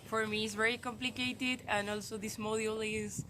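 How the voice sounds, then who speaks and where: A young woman, an international student talking haltingly and searching for words. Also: on the high side, little pitch movement. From Spain. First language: Spanish. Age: young adult. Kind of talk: casual chat. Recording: noisy background.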